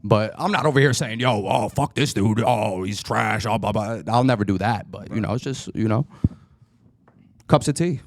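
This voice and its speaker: A man's voice: on the low side, normal speed, no echo. A man from Florida hosting a podcast, chatting casually, hesitant and repeating words when put on the spot. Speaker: podcast host.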